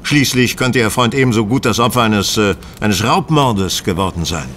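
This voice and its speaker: A man acting in a German audio drama as a composed detective who asks probing questions in a polite, measured way. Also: lowish voice, fairly bright tone, slight background noise, lightly acted. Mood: calm, inquisitive.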